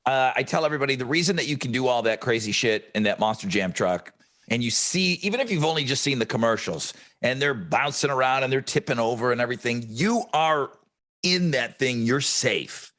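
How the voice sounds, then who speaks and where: A man's voice: medium pitch, animated, brisk, a bit bright. A man, a radio host co-hosting a podcast, chatting casually and telling self-mocking brag stories. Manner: easygoing, self-deprecating, boastful storyteller. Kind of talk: casual chat.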